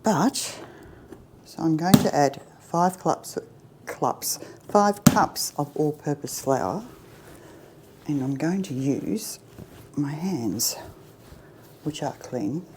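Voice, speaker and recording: A middle-aged woman chatting her way through a baking tutorial in her home kitchen, self-deprecating and often dropping to a soft near-whisper. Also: deep voice, lively intonation, extremely slow, pauses often, very noisy. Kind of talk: casual cooking demonstration.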